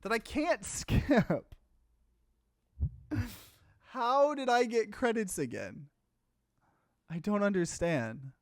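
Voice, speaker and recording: Lively man doing game commentary. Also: high-pitched, takes it slowly, long pauses, no echo. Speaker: let's play host. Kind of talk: casual gaming commentary and reading game text aloud.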